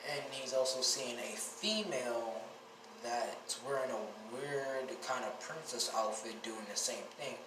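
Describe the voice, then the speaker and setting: A man with a scratchy, raspy voice and a stuffy nose from a cold, rambling through a story and often correcting himself. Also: mid-range voice. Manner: rambling, with frequent self-corrections. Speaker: fan-fiction storyteller. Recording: roomy, distant mic, noisy background.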